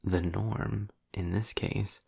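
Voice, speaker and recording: A man playing a sarcastic, worldly demon in an intimate audio roleplay, speaking in a low, gravelly, breathy voice with dry, deliberate pauses, often slipping toward a whisper. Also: steady tone, darkish tone, exaggerated voice. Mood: intent, instructive. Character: sarcastic, worldly male demon, trapped, grudgingly explaining.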